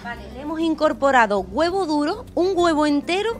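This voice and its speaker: A woman's voice: sits high, sing-song, a little fast, soft background music. A woman, reporting live on a cooking segment with a handheld mic, chatty and upbeat. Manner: chatty, upbeat, prompting the chef. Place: outdoor seaside restaurant terrace, then indoors.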